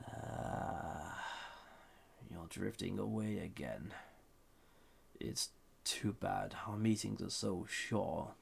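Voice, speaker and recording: A man voicing a suave, flirty and dangerous banished villain in an audio roleplay, with a husky, breathy voice and a formal, softly condescending manner. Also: extremely slow, long pauses, heavily acted. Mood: wistful, tender. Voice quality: deep, gravelly, husky, breathy. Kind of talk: scripted roleplay audio drama.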